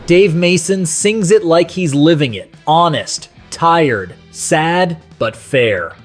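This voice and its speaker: Man, slightly high voice, scripted countdown narration, folksy and sentimental storyteller, music behind. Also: expressive, slow.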